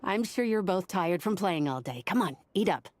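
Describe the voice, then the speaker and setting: A woman voicing a kindly, doting old village granny in a video game, with a gentle, soothing, unhurried manner. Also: on the low side, lively intonation, close to an everyday voice. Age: older. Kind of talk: scripted video game dialogue.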